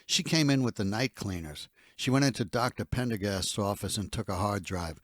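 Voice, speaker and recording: A man's voice: on the low side, moderate pace, a bit bright, dry recording, studio quality. An actor playing a dutiful henchman in an audio drama, reporting in a matter-of-fact, slightly hesitant and deferential way. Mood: careful. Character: dutiful male henchman reporting to his boss.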